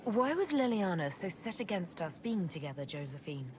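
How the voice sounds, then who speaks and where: Low-voiced, self-assured fantasy leader. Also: female voice, big pitch swings, normal speed, slight background noise. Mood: curious. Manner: composed, courtly, direct. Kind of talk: video game cut-scene acting.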